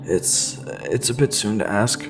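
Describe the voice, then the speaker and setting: An actor playing an uncertain, subdued teenage boy with a quiet, hesitant way of talking in an audio drama. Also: lowish voice, lively intonation, measured pace, gravelly. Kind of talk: science fiction audio drama acting. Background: music.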